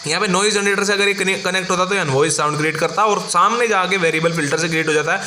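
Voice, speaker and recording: Man, Indian accent, bright forceful tone, informal lecturing, tag questions, echoey room with faint noise. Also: high voice, racing. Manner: didactic, often checking in with tag questions. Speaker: engineering tutor. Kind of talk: informal explanatory lecture mixing Hindi and English.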